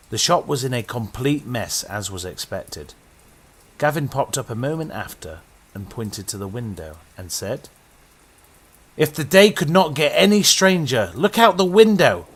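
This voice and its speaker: A man's voice: fairly bright tone, a little hiss or hum. Dramatic British man narrating horror. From Britain. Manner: dramatic storytelling with sweeping pitch.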